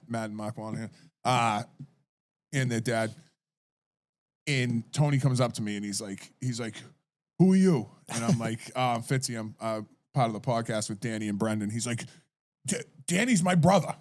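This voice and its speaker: A man of middle pitch co-hosting a podcast, casually recounting a night out. He acts out the quotes of others as he goes. His delivery is easygoing. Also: animated, normal speed. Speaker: podcast co-host. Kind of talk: casual chat, anecdotes.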